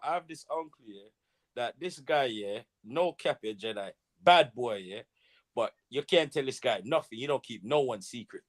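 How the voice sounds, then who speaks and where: A man's voice: mid-range voice, expressive, normal speed. A man joking around on a casual online panel, laughing often and repeating himself. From Jamaica.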